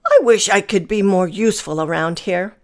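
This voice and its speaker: A woman's voice: mid-range voice, normal speed, natural voice. A showy male peacock, read by a woman. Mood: moping. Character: showy, eager male peacock, new to the farm.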